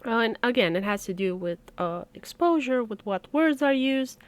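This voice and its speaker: A woman's voice: fairly high pitch, expressive, moderate pace, clean tone, no echo. Chatty woman, dry close mic. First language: Romanian. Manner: chatty, laughs often, wry. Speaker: language tutorial host. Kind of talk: casual explainer video.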